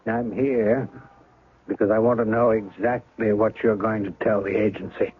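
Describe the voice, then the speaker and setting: A raspy, menacing schemer. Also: male voice, on the low side, steady tone, moderate pace. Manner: clipped and threatening. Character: menacing man, cold schemer. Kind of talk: 1970s radio drama acting. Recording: narrow 1970s radio broadcast.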